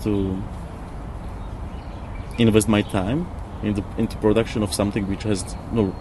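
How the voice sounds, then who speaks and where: A man, speaking in a halting, searching way during a reflective interview. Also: fairly low pitch, little pitch movement. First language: not English. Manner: halting, searching for words with repetitions. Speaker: interview guest, former architect. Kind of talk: casual reflective discussion. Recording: noisy outdoor ambience with birds, traffic.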